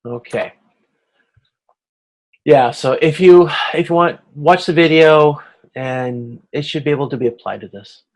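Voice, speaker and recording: Halting man teaching an informal online tutorial. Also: on the high side. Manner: halting, self-correcting, thinks aloud; checks that listeners follow. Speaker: instructor. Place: online video conference.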